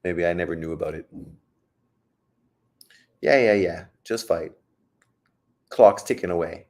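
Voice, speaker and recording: A man's voice: low voice, unhurried, creaky, darkish tone. A man hosting a live stream who calls a boxing fight as it happens and answers chat questions in a blunt, profane, joking way. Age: adult, old enough to say he got old. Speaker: livestream host reacting to a boxing fight. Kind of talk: casual live commentary, reading chat.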